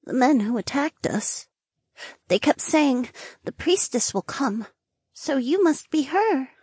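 A tiny, frightened squirrel woman from a fantasy audiobook, acted out by a man. The voice is extremely high and swooping, breathless and pleading, and takes its time over the words. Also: big pitch swings, slow pace, darkish tone, no echo, clean studio sound, heavily acted. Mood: timid, curious.

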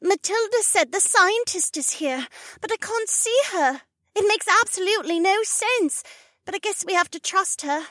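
An excitable, anxious young heiress from a fantasy audiobook, acted by a woman. Her voice is extremely high and bright, with an earnest urgency. The recording is dry. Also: normal speed, no echo, full character voice. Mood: urgent, puzzled. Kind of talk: audiobook character dialogue.

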